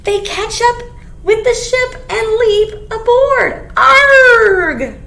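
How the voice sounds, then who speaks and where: A woman's voice: very high-pitched, slow. A middle-aged woman leading a children's storytime, reading aloud expressively as if to young listeners. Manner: expressive, clearly addressing young children, with simple questions. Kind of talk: animated children's picture-book read-aloud. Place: small indoor room. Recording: echoey small room, background hiss.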